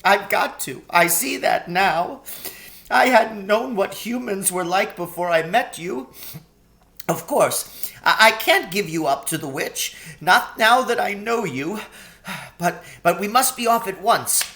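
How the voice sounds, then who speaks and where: A timid, kind storybook faun, pleads in a voice pitched far up. He is weepy and full of guilt, stammering through his anguish. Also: expressive, takes it slowly, pushed and bright, exaggerated voice. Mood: anxious, resolved.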